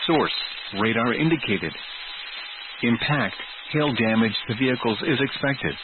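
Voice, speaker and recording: A man's voice: medium pitch, lively intonation, measured pace. Tinny, noisy radio weather announcer, a man. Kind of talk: scripted emergency weather bulletin.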